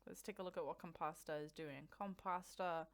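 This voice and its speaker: A woman's voice: low-pitched, steady tone. Chatty Irish woman commentating a game. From Ireland. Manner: chatty, laughs often, quick asides. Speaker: event commentator.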